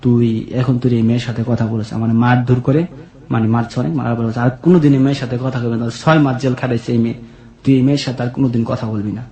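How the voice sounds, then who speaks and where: Man, colloquial Bangladeshi accent, quick pace, mid pitch, plaintive personal storytelling, roomy echo. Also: fairly even intonation, mellow. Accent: Bangladeshi, colloquial regional. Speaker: guest, migrant worker telling his life story.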